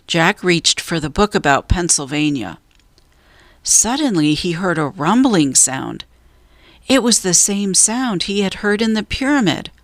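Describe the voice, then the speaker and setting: A woman reading a children's story aloud as a narrator, in a measured, deliberate way with drawn-out emphasis. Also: on the low side, fairly bright tone, dry recording, studio quality. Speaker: storybook reader.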